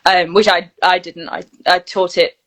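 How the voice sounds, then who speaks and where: A woman's voice: fairly low pitch, little pitch movement, normal speed, a bit bright. A British woman talking casually as an interview guest, explaining her work in a chatty way and often acting out bits of dialogue. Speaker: guest, voice reel studio founder and actor.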